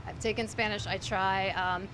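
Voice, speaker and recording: A woman from Miami, a newspaper columnist, giving measured, opinionated takes on politics on a live stream. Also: mid-range voice, steady tone. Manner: measured and opinionated, weighing her words. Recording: noisy live-stream background.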